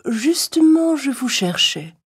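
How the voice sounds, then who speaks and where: A woman voicing a polite, self-assured tortoise in a children's cartoon fable, courteous and calmly confident. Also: high-pitched, slow, full character voice.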